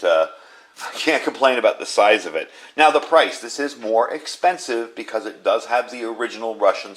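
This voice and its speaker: A man talks in a fairly low voice. He reviews a product casually, in a plain-spoken, conversational way, indoors at a table. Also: a bit bright, slight background noise. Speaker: host reviewing gadgets. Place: small indoor room at a tabletop.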